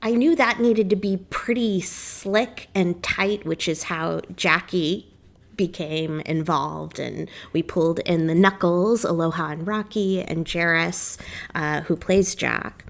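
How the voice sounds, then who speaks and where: A woman speaking as a theater director and interview guest, measured and careful in her phrasing. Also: lowish voice, vocal fry. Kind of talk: thoughtful radio interview.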